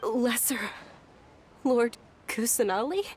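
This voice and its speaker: A woman voicing a tender, humble young noblewoman in a video game, speaking softly and haltingly with feeling. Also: a little high, slight background noise. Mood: moved, humble. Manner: soft, halting. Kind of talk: English video game dub acting.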